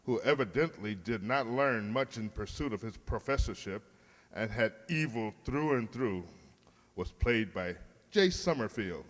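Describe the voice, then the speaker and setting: Male announcer, arch and measured, lively intonation, reverberant hall with faint noise. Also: lowish voice. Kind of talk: scripted radio play announcing, wry.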